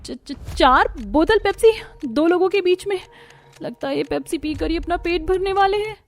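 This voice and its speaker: A woman's voice: very high-pitched, expressive, normal speed, pitched above the performer's own voice. A woman voicing a shy, polite and easily bewildered young bride-to-be in a cartoon comedy. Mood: shocked. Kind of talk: Hindi cartoon comedy acting.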